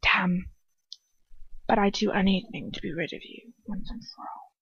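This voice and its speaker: A malicious aristocratic man, as a woman reader puts him on, drawling and full of contempt. He sneers through his storybook lines at a middling pitch for a female voice, recorded close and dry. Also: steady tone, moderate pace, dry recording, dull audio, close to an everyday voice. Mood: irritated.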